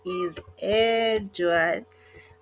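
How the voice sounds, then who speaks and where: A woman narrating an online job application walkthrough in a casual, hesitant way, with frequent pauses and self-corrections. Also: mid-range voice. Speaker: online job tutorial creator. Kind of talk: casual screen-recorded walkthrough.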